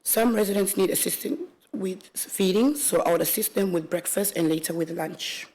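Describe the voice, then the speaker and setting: Witness, woman, 20s, from Congo; low-ish voice, reads her statement steadily and carefully. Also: normal speed. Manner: steady, careful reading with small restarts. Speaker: witness, aged care worker. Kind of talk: reading a prepared statement aloud. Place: public hearing room.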